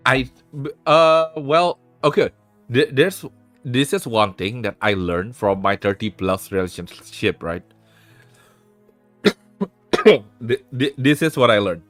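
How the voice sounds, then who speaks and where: A man's voice: medium pitch, animated, takes it slowly, a bit bright, dry recording, faint music behind. A man hosting a live stream, giving frank relationship advice in casual chat, swearing freely and restarting his sentences. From Indonesia. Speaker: streamer host.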